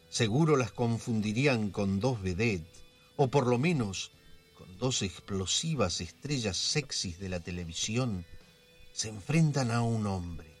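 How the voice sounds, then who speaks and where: A man narrating a scripted radio drama as a measured, theatrical storyteller. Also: lowish voice, slightly rough, exaggerated voice. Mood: suspenseful.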